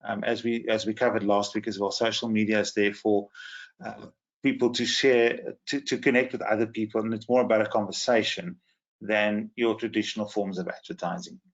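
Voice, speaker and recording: Croaky man presenting a training webinar; lowish voice, muffled video call sound. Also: flat delivery, brisk. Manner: hesitant, many fillers. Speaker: social media trainer.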